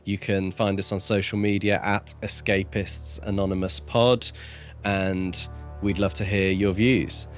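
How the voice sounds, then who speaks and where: A man's voice: sits low, level tone, measured pace, soft background music. A man co-hosting a casual book discussion podcast, talking with dry, wry humour. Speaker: podcast co-host.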